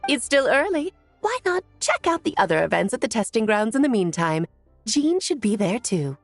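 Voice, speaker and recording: A breezy, self-assured sorceress for a video game. Her highish voice swoops playfully, arch and genteel, recorded dry with music behind it. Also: sing-song, normal speed, no echo. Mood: breezy, confident.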